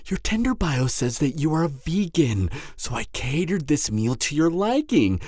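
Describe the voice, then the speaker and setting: A woman's voice: deep voice, sing-song, normal speed, a little mellow, dry recording, clean studio sound, full character voice. A woman playing a gleeful, mocking cannibal serial killer in a theatrical audio roleplay, taunting the listener. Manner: theatrical, taunting, frequent cackles and breathy whispers.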